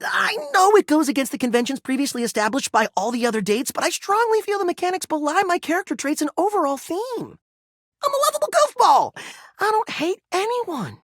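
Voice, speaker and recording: A man's voice: extremely high pitch, big pitch swings, brisk, a bit bright, no echo, clean studio sound, heavily acted. A man voicing a hyperactive goofball game mascot, campy and theatrical, full of playful silliness. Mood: cheeky, playful.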